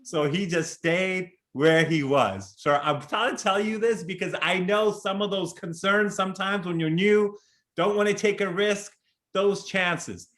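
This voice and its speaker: Keynote speaker, man in his forties, higher voice, earnest and chatty, video-call sound. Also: unhurried, a bit bright. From Chicago. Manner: earnest, conversational, with frequent restarts and "right?" asides.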